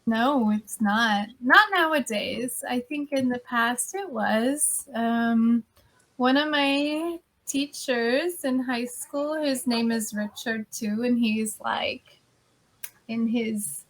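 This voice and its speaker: A woman's voice: fairly high pitch, slow, fairly bright tone. A woman tutoring English in casual chat over a video call, chatty and patient, often laughing as she explains pronunciation. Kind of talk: casual conversation class. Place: standing at home during a video call.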